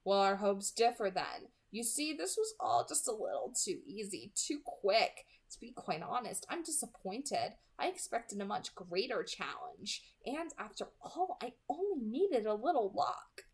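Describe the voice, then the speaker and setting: A woman's voice: mid-range voice, sing-song, measured pace, few pauses, husky. A woman reading aloud as a gloating, mockingly polite male vampire hunter in a storybook, with a genteel, sarcastic manner. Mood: smug.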